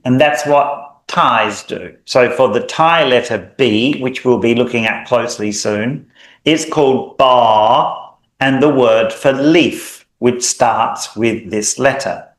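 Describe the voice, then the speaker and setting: A man's voice: medium pitch, slow. A man with a mild Australian accent teaching a language lesson, explaining patiently, drawing out example sounds, with small hesitations. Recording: echoey room.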